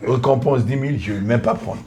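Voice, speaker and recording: Earnest pastor. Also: male voice, mid-range voice, normal speed, a little mellow. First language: English. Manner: earnest, repetitive, lists in short clauses. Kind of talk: testimony in a podcast conversation.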